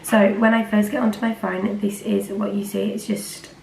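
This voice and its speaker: A teenage girl chats to camera in a loose, rambling way, leaning on filler words. Her voice is a little croaky from a cold and sits at a middling pitch. The room around her is echoey, with a faint hiss of ambient noise behind. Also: little pitch movement, normal speed, a little mellow. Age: teens. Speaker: vlogger. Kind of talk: casual chat to camera.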